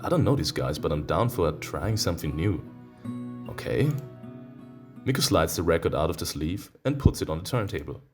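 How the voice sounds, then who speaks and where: Man, deep voice, swooping intonation, let's-play host, reading aloud, playful teasing asides, instrumental music in background. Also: moderate pace. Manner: expressive, teasing, exclaiming. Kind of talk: reading a visual novel aloud with playful reactions. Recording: music behind the voice.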